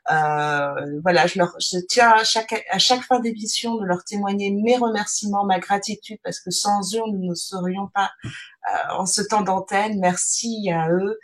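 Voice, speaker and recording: A woman's voice: medium pitch, measured pace. A woman hosting a radio show, closing it with thanks and many fillers. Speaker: show host. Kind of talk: casual radio interview.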